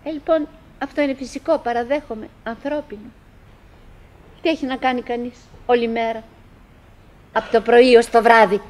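Actress playing high-voiced wistful, chattering middle-aged woman. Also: steady tone, a little mellow, natural voice, rough recording. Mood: hushed, pensive. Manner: theatrical, halting, musing with repetitions. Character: chattering, wistful middle-aged woman, doggedly cheerful, pleading with her husband. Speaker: stage and radio actress. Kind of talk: radio theatre monologue.

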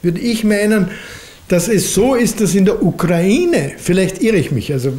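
A man in his seventies with an Austrian accent, answering questions as a lecturer in a deliberate way, often adding questioning tags. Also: high-pitched, big pitch swings, darkish tone. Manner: deliberate, hedging, often adds a questioning "nicht?". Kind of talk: unscripted answer after a lecture. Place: library lecture room, at a lectern. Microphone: lectern microphone.

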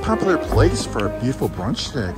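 A man's voice: medium pitch, wildly swooping pitch, brisk, over loud music. A man hosting a casual food vlog at a cafe, joking and giving upbeat commentary on the dishes. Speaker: food vlogger. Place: cafe patio, outdoors.

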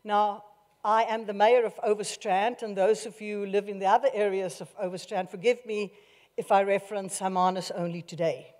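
A South African woman in her sixties, a mayor giving a loud, forthright, jovial vote of thanks at a podium microphone. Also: medium pitch, steady tone, moderate pace. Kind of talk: formal public speech. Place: large marquee tent at an outdoor event. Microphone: podium microphone on a stand. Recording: reverberant live event sound.

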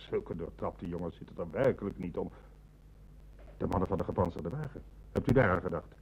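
An actor playing a formal, loyal bank manager in a radio play, speaking firmly. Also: male voice, low voice, moderate pace, a little mellow, a little hiss or hum, poor audio. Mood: defensive. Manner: formal, firm. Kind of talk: Dutch radio play acting.

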